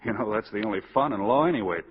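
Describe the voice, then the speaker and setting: Idealistic attorney, quick-witted and earnest; husky, animated, muffled old radio drama sound. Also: male voice, on the low side. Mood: earnest, upbeat. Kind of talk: 1940s radio acting. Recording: dull 1940s radio broadcast, long reverb.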